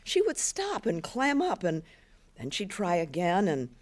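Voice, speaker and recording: A woman's voice: fairly low pitch, huge pitch swings, measured pace, full character voice. A woman doing a stammering, anxious teenage girl. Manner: stammering, restless, earnest. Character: anxious teenage girl pleading with her teacher.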